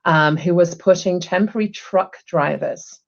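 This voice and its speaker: Low-voiced woman, chatty. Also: normal speed, creaky, highs cut off. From England. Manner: chatty, upbeat, frequent ums. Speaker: guest, HR executive. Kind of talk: casual interview talk. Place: home room, video call.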